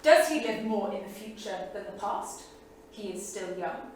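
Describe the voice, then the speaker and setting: A thoughtful, observant young wife of the 1930s, played by a woman reads from a journal on stage in a measured, literary, dry way. Her medium-pitched voice comes from far off in a strongly echoing theatre, with faint noise. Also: animated, natural voice. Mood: musing. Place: small studio theatre, live staged reading. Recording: very echoey theatre, distant mic, faint noise.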